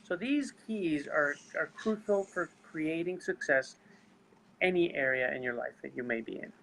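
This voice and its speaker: A man's voice: a little high, lively intonation, normal speed, gravelly, fairly bright tone, a little hiss or hum, rough recording. A man with a non-native English accent, earnestly explaining his seminar work and speaking straight to viewers over a video call. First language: not English. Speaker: seminar leader. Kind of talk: live webinar talk.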